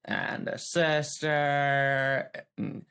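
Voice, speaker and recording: A woman's voice: deep voice, level tone, drawn-out words, a bit bright, smooth. A woman chatting casually as a player on a role-playing podcast, with a wry manner. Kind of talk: casual chat.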